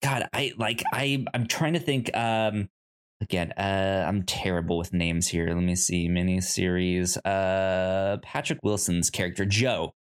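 A man co-hosting a casual discussion, speaking haltingly and stuttering on repeated sounds and words. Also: deep voice, steady tone, smooth. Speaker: podcast co-host. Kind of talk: casual chat, film discussion.

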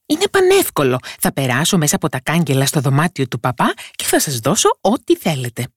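A storyteller voicing a tiny, cheeky, thumb-sized boy in a fairy tale, bold and calling out loudly. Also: mid-range voice, huge pitch swings, husky, no room sound, studio quality. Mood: eager, confident. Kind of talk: storybook character voice.

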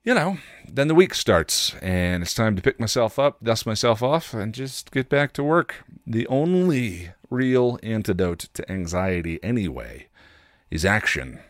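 A man hosting a podcast with a candid personal monologue, reflective and halting, with wry, self-deprecating delivery. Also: lowish voice, very animated, no room sound, clean studio sound. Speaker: podcast host.

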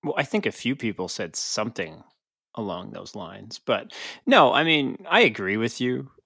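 A man's voice: on the low side, sing-song, normal speed, gravelly, bright, forceful tone, breathy edge, no echo, studio quality. A man co-hosting a podcast in casual sports discussion, talking in a conversational, argumentative way. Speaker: podcast co-host.

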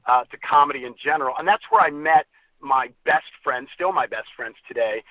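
A man from the US Midwest, a guest who tells stories in a friendly way and pauses on many uhs. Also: medium pitch, no room sound. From Ohio, US Midwest. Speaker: guest, comedy performer and co-founder. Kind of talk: interview conversation. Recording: dry, tinny phone line.

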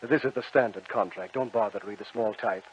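An actor playing a suave devil who trades for souls, with smooth, wheedling sales patter, in old-time radio acting. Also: male voice, lowish voice, quick pace. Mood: sly. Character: suave smiling devil, a soul-trading salesman. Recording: dull old radio broadcast recording, faint hiss.

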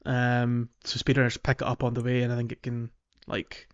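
A man talks casually as a podcast co-host about a video game. His voice sits at a middle pitch, and the recording is a little dull and lo-fi. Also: completely flat delivery, fairly quick, smooth.

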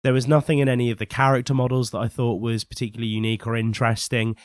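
A young man co-hosting a casual podcast, a little husky from a cold, opinionated and teasing. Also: mid-range voice, little pitch movement, racing, no room sound, studio quality. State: recovering from a cold, slightly husky. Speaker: podcast co-host. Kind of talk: casual chat.

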